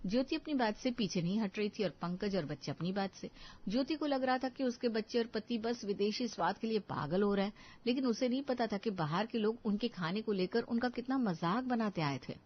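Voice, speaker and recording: A woman narrating a scripted moral story for an animated video. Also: medium pitch, a little fast, lo-fi sound.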